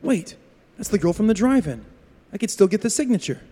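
Casting: man to play starstruck, easygoing driver, high male voice, mellow tone, radio-play bit part. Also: sing-song, brisk, long reverb tail. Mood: eager, gawking. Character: easygoing male passing motorist, eager and starstruck.

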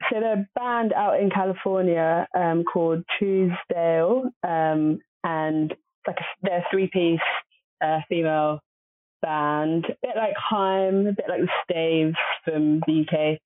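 A woman's voice: lowish voice, fairly even intonation, a little slow, no echo. A woman guest on a podcast, chatting casually and hesitantly, with many fillers. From family from southern Nigeria. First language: Obolo. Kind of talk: casual interview chat. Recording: dry, phone-like lo-fi audio.

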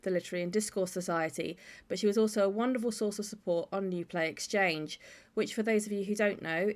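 A woman giving a scripted podcast introduction as host. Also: mid-range voice.

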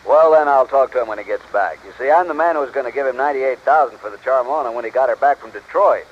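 An actor playing a businesslike, easily startled yacht buyer in a 1950s radio drama. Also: male voice, mid-range voice, fast talker, close to an everyday voice. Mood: surprised, businesslike. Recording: muffled old radio recording, faint noise.

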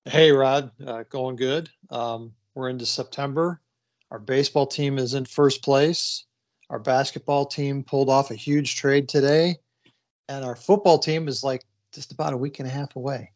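Relaxed co-host man, lo-fi. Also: medium pitch, moderate pace. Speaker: podcast co-host. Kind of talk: casual podcast chat. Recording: dull lo-fi audio.

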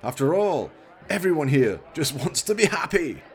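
A man's voice: fairly high pitch, big pitch swings, normal speed, pitched above the performer's own voice. A man voicing a vain, smarmy politician in his sixties in a radio play, speechifying in a hesitant, stammering, self-important way. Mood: evasive, oily.

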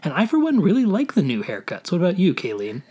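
A man's voice: high voice, wildly swooping pitch, fast talker, warm tone, breathy edge. Upbeat man hosting a podcast. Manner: upbeat, steers the talk with questions. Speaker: podcast host. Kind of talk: casual chat.

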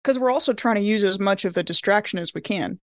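A woman of medium pitch chats as a player in a tabletop role-playing game. She sounds informal and agreeable, and the recording is close and dry. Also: fast, no room sound. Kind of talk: casual game-table chat.

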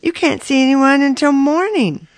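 A man's voice: very high voice, moderate pace, no echo, voice pushed up, rough recording. A man voicing worried, pleading farm women in an audiobook, anxious and insistent.

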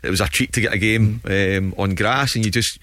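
A man's voice: lowish voice, steady tone, a little fast, fairly bright tone, no echo. A man, a football journalist and former player, talking hesitantly on radio with many fillers. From Scotland. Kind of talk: casual radio chat.

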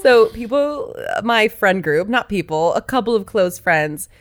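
A woman from Michigan co-hosts a podcast in a dry, close-miked sound. Her pitch sits mid-range. She chats loosely, giggling and tossing in quick asides. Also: expressive, no echo. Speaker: podcast co-host. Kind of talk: casual chat.

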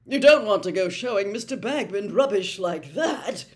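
A woman acts out a young wizard man in a storybook reading. He is pompous and prim, with an officious, eager-to-impress manner. The pitch swings in a lively way. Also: slow pace, full character voice. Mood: disapproving. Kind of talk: audiobook character voice.

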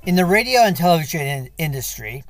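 A man's voice: fairly high pitch, very animated, fast talker. A man reading a scripted introduction as a radio show host. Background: faint music.

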